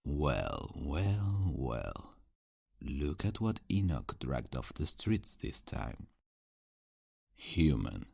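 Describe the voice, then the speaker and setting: A man's voice: extremely low pitch, very animated, very slow, gravelly, darkish tone, breathy edge, no echo, clean studio sound, exaggerated voice. A man playing a smug, sadistic, centuries-old vampire in an ASMR roleplay, drawling with theatrical condescension. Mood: mocking.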